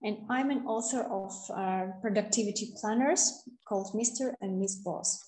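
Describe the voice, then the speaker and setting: A woman from Kazakhstan giving an online webinar presentation, slow and hesitant with many fillers, heard over a video call. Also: mid-range voice, warm tone, echoey room, poor audio.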